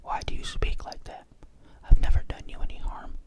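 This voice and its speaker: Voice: moderate pace, natural voice. A storyteller whispering the part of a frightened male writer, cornered and pleading.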